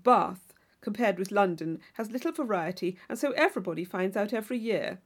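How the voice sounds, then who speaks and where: A woman voicing a witty, urbane young gentleman in a classic novel audiobook, with a playful, mock-solemn, teasing manner. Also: medium pitch, expressive, fairly quick, close to an everyday voice. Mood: dry teasing.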